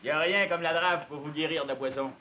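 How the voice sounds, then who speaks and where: A man acts out a brash, generous Quebec logger in a 1950s television period drama. His voice sits a little high, and he talks in a boastful rural way. The soundtrack is muffled, with a faint hiss. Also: fairly quick, close to an everyday voice. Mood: easygoing. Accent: Quebec French. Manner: boastful, folksy rural speech. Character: brash, openhanded Quebec logging man in love.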